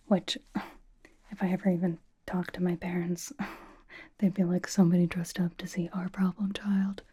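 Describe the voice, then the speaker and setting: A woman playing a sassy, vain young K-pop star doting on a fan in an ASMR roleplay, in a breathy whisper with teasing, deadpan delivery. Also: lowish voice, measured pace, long pauses. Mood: fond, bragging.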